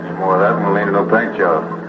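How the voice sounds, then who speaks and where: A man's voice: fairly low pitch, fairly even intonation, racing, lightly acted. An actor playing a tense crewman in danger in an old television adventure drama. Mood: tense, urgent. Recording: muffled old clip, loud music. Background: loud music.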